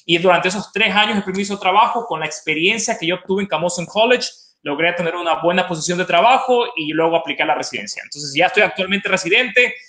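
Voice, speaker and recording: A man's voice: high-pitched, quick pace, sharp tone. A man in his thirties with an Ecuadorian accent, talking enthusiastically and explaining things informally as a college representative on a video call. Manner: enthusiastic, explanatory, repeats words for emphasis. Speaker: college representative, webinar guest. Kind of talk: informal promotional interview talk. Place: home living room with open kitchen, video call. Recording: roomy echo on video call.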